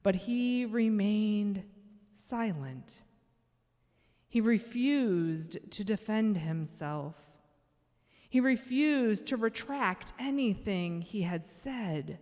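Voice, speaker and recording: A woman performing a measured, solemn church reading as Fear, a menacing and boastful tormentor. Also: medium pitch, animated, darkish tone. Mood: thwarted, solemn. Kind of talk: dramatic church reading, monologue. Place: large church sanctuary. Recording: long reverberant church hall echo.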